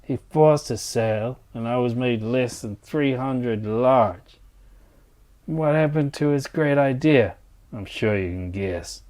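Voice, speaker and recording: A man's voice: medium pitch, takes it slowly, darkish tone, close to an everyday voice. A man playing a blunt, resentful, set-in-his-ways engineer in an exasperated dramatized dialogue. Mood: bitter, exasperated.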